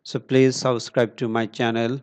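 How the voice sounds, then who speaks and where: Slow, steady man walking through a tutorial. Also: medium pitch, clear, resonant tone. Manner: slow, step-by-step instructions with pauses and fillers. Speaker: tutorial presenter. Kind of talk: screen-recorded tech tutorial.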